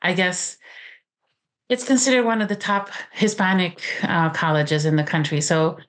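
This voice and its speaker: Romanian-accented woman in her forties, low voice, halting, pausing, author guest. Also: lively intonation. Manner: halting, many "um"s and restarts. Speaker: author and college teacher. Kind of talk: interview guest talk.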